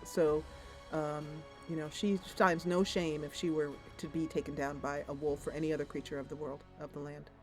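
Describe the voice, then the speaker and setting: A woman's voice: low-pitched, little pitch movement, normal speed, faint music behind. A woman streamer improvising story narration over a video game in a loose, conversational way.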